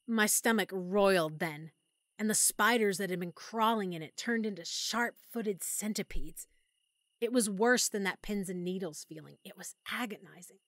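A woman's voice: medium pitch, normal speed, fairly bright tone, no room sound, studio quality, close to an everyday voice. A woman voicing a young woman who is turning into a zombie, reading out her final letter in a strained, fearful way. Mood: desperate. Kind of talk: audiobook character monologue.

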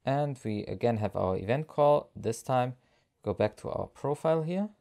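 A man narrating a step-by-step software screencast tutorial as an instructor, explaining each action as he goes. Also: medium pitch, expressive, normal speed, creaky.

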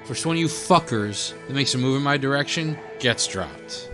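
A man's voice: mid-range voice, expressive, normal speed, over music, lightly acted. A man playing a wary, tough-talking inmate in an audio drama, blunt and sardonic. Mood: alarmed, defiant. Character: wary, tough-talking foul-mouthed male new asylum inmate.

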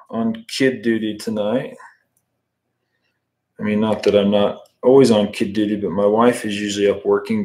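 A chatty man in his thirties talks his way through a fly-tying livestream from a room at home. His voice sits a little on the low side, and he stays easygoing, breaking off his steps to greet and answer viewers. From United States. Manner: relaxed, chatty, answers viewer comments while working. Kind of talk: casual live tutorial with chat.

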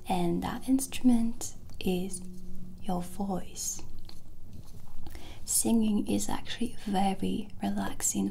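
A woman whispers close to the mic in a breathy, creaky voice with a mellow tone. She takes her time, gentle and soothing, as in an ASMR roleplay. There is a faint wash of noise under her voice. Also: mid-range voice. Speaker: ASMR host playing a witch sound healer. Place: small candlelit indoor studio set.

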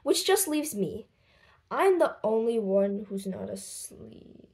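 A woman reading a children's book aloud expressively, with deliberate, dramatic phrasing and lifted voices for dialogue. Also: mid-range voice, big pitch swings, a little mellow. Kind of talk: expressive storybook read-aloud.

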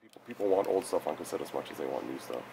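A man's voice: a bass voice, expressive, rapid-fire, vocal fry, mellow. A middle-aged man with an American accent, chatting casually as an interviewee. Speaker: writer, interviewee. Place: music shop, indoors.

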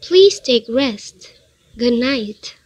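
A compassionate young daughter in a radio play, acted by a woman. She has a high voice and takes her words very slowly. Her manner is polite and earnest. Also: lively intonation, heavily acted. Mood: gently hospitable. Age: young adult. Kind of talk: amateur student radio drama acting.

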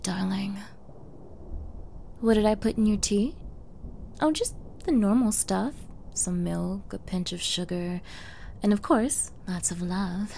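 A woman's voice: medium pitch, gravelly, warm tone, slight background noise. A woman playing a sweetly menacing, possessive young girlfriend in an intimate ASMR roleplay, coy and cooing, often dropping into whispers. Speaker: roleplay audio performer.

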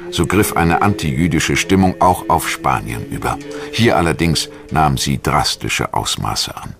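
A man with a deep, low voice narrates a historical documentary. His delivery is measured, formal and solemn, reading from a script. Faint music plays behind him. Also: creaky. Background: background music.

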